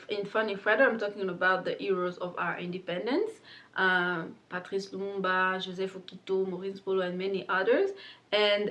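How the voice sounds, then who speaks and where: A woman, chatting about her makeup palette in a casual, filler-heavy way, seated close to the camera indoors. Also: mid-range voice. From Congo. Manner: chatty and warm, many fillers like "like" and "um". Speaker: beauty brand owner presenting her palette. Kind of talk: casual explanatory talk to camera. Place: indoor studio with plain pink backdrop.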